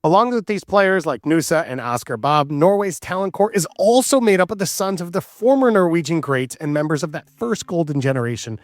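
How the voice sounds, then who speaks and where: A man's voice: on the high side, a little fast, hardly any pauses, dry recording, studio quality, faint music behind. A man narrating a scripted sports documentary as the host.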